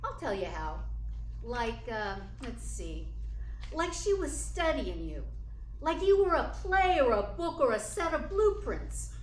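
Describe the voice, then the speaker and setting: A woman's voice: fairly high pitch, very animated, unhurried. A woman playing a blunt, watchful confidante of a star in a radio play. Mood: wary, blunt. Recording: echoey room with faint noise.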